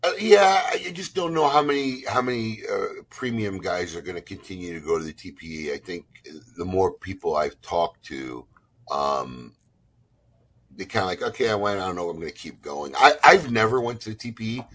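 A man hosting a casual interview, teasing his guest in a jumpy, animated way. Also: low voice, moderate pace. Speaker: host.

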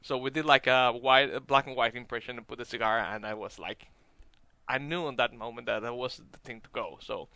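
A man's voice: mid-range voice, brisk, pushed and bright, no room sound. A man with a Dominican accent, a cigar maker guest on a talk radio show, speaking casually with many fillers and restarts. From Dominican Republic.

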